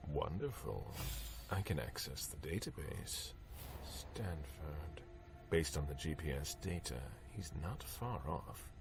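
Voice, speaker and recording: Very deep, gravelly old vampire. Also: male voice, heavily acted. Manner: measured, menacing, terse. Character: cold, proud old male vampire, defiant and controlled. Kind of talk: video game cutscene acting.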